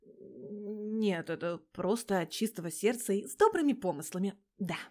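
A woman voicing a cheeky, smooth-talking police major, a man in his thirties, in an audio story. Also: mid-range voice, animated, normal speed, mellow, natural voice. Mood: placating.

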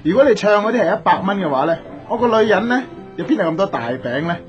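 A mock-indignant young wisecracker in a Hong Kong radio comedy. His Cantonese delivery swoops up and down, sarcastic, complaining and excitable, with faint music behind. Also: sits high, fast talker, muffled recording. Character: cheeky, bickering young male friend, mock-indignant wisecracker. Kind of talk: comic radio drama acting.

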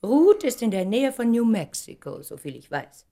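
An actress in a German radio play playing a proud, probing woman who speaks in a deliberate, pointed, dramatic way. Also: on the high side, sing-song, vocal fry, warm tone. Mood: troubled, urgent. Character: Valerie, proud woman, probing, articulate, emotionally insistent.

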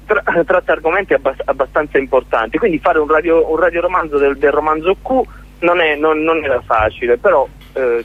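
A man being interviewed as a guest about his theatre collective, talking in a halting way with many restarts and repeated words. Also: medium pitch. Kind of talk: casual radio interview. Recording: tinny phone line.

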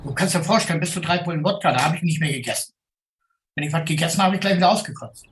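A man's voice: fairly high pitch, very fast, a bit bright, slight background noise. Blunt middle-aged man, informal storyteller. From Ruhr area, Germany. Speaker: city tour guide for a street magazine. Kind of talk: informal spoken recollection.

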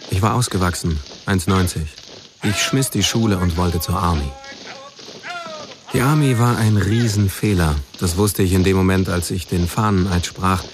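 A man's voice: low voice, normal speed, noisy background. An actor playing a man who narrates his troubled youth in a terse, matter-of-fact way for a radio drama. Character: male narrator plainly recounting his troubled teenage years. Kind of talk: scripted first-person narration, radio drama.

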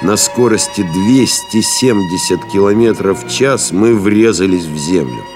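An actor playing a weary, stoic pilot stranded in the desert, narrating gravely and deliberately in an audio play, with music behind him. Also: male voice, low voice. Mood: tense anticipation. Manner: grave, deliberate, brooding, with pauses. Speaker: actor narrating in first person.

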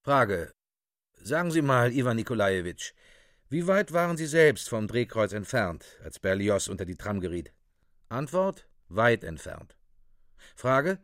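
A man's voice: mid-range voice, big pitch swings, measured pace, fairly bright tone, no echo, clean studio sound. A man narrating a radio play from a script in a clipped, official, report-like manner.

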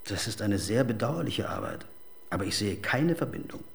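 An actor playing a smug, evasive music manager in a radio crime drama, coldly businesslike, formal and condescending. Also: male voice, lowish voice, sing-song, moderate pace, vocal fry. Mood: condescending, cool.

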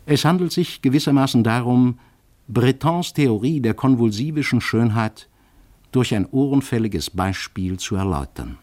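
A man's voice: mid-range voice, animated, moderate pace, a little mellow. A man presenting a radio drama with a scripted literary introduction in formal, scholarly phrasing.

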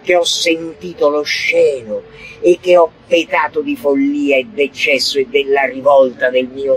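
A man declaiming a theatrical text in a forceful, often loud delivery. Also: fairly high pitch, breathy edge, low-quality recording. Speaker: actor reciting a monologue. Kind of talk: theatrical recitation.

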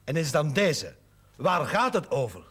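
An actor playing a deliberate, theatrical male questioner at a village hearing in a Dutch TV drama, repeating words for effect. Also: a little high, big pitch swings. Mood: pointed. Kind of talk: Dutch television period drama acting. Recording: noisy old TV soundtrack.

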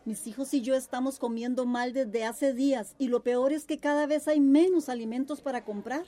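A woman's voice: sits high, steady tone, normal speed, dark tone, some chatter behind, close to an everyday voice. An actress playing a distressed village mother in a radio drama. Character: distressed village mother struggling to feed children.

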